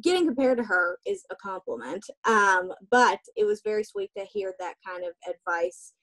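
A young adult woman answering interview questions over a video call, chatty and relaxed, with lots of fillers. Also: mid-range voice, normal speed, low-quality recording. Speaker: guest, singer. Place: small room indoors. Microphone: webcam on a video call.

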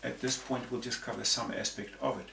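Gravelly older man explaining, roomy distant sound. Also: medium pitch, flat delivery, fairly quick. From Zambia. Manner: rambling, repeats words. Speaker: panel guest. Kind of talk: conversational explanation. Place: room with patterned tapestry backdrop. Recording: roomy, distant, faint hiss.

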